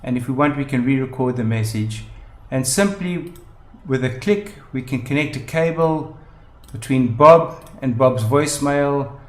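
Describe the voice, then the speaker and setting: A man's voice: mid-range voice, unhurried. Man narrating a tutorial in an echoey room. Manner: methodical, step-by-step explaining. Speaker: tutorial presenter. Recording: roomy, echoing voice-over.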